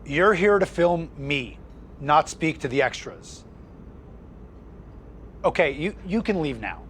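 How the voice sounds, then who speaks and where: A man's voice: on the high side, expressive, slow pace, fairly bright tone. A man playing a smug, spiteful young wrestling champion in a video game, condescending and boastful, with noisy ambience behind him. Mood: smug, mocking. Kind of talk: video game cutscene acting.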